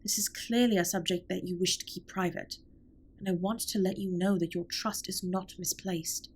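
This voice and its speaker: A woman playing a formal, caring alien spaceship captain in an intimate audio drama, speaking in a measured, precise way with a breathy, husky voice. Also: fairly low pitch, fairly even intonation, darkish tone. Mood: sincere, formal. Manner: formal, measured, precise wording with few contractions. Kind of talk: scripted roleplay audio drama, intimate comfort monologue.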